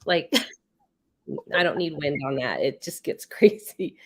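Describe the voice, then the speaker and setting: A woman's voice: low voice, expressive, takes it slowly, a little mellow. A woman with a congested head cold hosting a casual live stream, chatty and rambling as she reads out viewer comments. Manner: chatty, rambling, laughs often.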